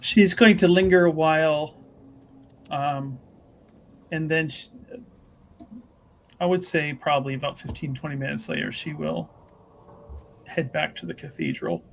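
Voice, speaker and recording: A man's voice: a little high, expressive, unhurried, gravelly, long pauses, a little hiss or hum. A man playing a tabletop roleplaying game, narrating what his character does. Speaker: player.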